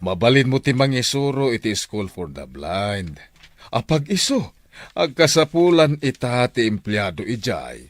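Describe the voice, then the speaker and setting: A man's voice: mid-range voice, big pitch swings, unhurried, no room sound. An actor playing an admiring male mentor in a radio drama. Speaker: teacher or mentor.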